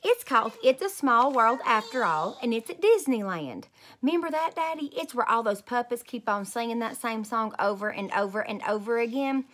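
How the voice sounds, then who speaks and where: A stubborn, excitable little girl from a children's storybook, voiced by a woman reader. She talks in emphatic, childish phrases, as if every word matters. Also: on the high side, moderate pace, pitched above the performer's own voice. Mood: bright, pleased.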